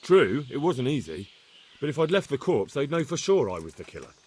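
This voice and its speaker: A man's voice: medium pitch, sing-song, brisk, darkish tone, slightly rough. A man playing an earnest, stubborn young adventurer in a medieval video game cutscene. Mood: defensive, firm.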